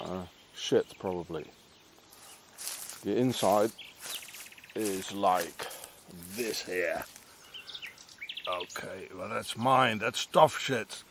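A man's voice: fairly low pitch, slight background noise. A middle-aged man rambling and ranting in a meandering monologue as he walks a countryside path, often tagging on questions. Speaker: vlogger. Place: outdoors in the countryside, walking a path.

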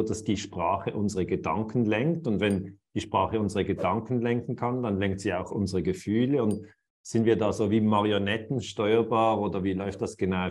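Moderator, Mann mit Schweizer Akzent, tiefe Lage, stockend und bedächtig im Interview. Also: male voice, fairly low pitch, darkish tone. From Switzerland. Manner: hesitant, many fillers and restarts. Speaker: host. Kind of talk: interview over video call.

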